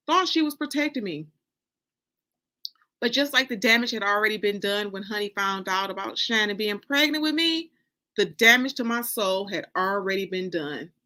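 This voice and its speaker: An American woman, an author, reading her first-person novel aloud in an expressive, deliberate, dramatic way. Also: on the high side. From Detroit, Michigan, United States.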